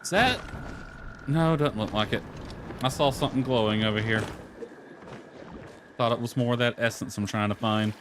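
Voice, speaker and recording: A man plays a video game and comments casually as he goes. His voice sits at an average male pitch. He mutters and grumbles to himself, with clear noise behind him. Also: moderate pace, long pauses.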